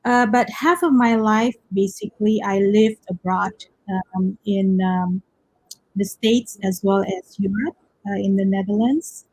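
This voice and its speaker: Woman founder from Indonesia, measured and halting, unhurried pace, dead-dry sound. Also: mid-range voice, no room sound, poor audio. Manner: calm, halting, many fillers. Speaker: guest, company founder. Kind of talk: interview answers.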